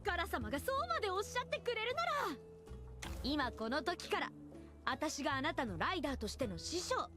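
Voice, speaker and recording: A peppy young female mentor in an anime-style game. Her voice is high and very animated, with an emphatic, bubbly delivery over game music. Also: racing. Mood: excited, cheerful. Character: peppy, excitable young woman mentor rider. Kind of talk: Japanese video game anime-style acting.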